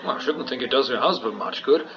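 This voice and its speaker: An actor playing an affable, fussy ship's doctor in a radio comedy. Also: male voice, medium pitch, animated, quick pace, noisy background, natural voice. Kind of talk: radio comedy drama acting. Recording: muffled radio play, loud music behind.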